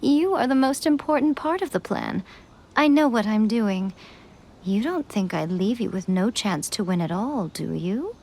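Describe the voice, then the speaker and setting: A woman playing an elegant, shrewd fox spirit in a video game, teasing and coy, with measured, formal phrasing. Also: medium pitch, expressive, darkish tone, a little hiss or hum, lightly acted. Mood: smug, teasing. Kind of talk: scripted video game voice acting. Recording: background music under the voice. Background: game soundtrack music.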